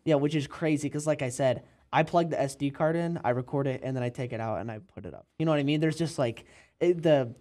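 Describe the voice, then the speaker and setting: A man's voice: a little high, fast, a little mellow. A chatty man hosting an interview. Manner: laughs often, rambling questions. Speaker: interview host. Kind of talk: casual interview chat.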